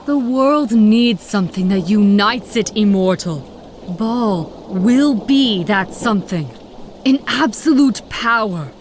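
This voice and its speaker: A woman's voice: mid-range voice, expressive, slow, slight background noise. An actress voicing a determined, zealous female agent of a secret order in a video game, solemn and poised. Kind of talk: dramatic video game dialogue.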